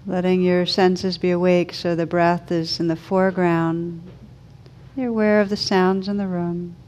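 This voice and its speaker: A woman leading a guided meditation as a teacher, speaking slowly and soothingly with gentle pauses. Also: lowish voice, flat delivery, muffled recording.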